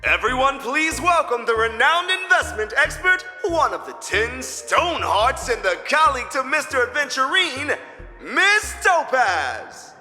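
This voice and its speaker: An actor playing an excitable, theatrical game-show style livestream announcer who gets flustered easily, in a video game. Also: male voice, very high-pitched, long reverb tail, heavily acted.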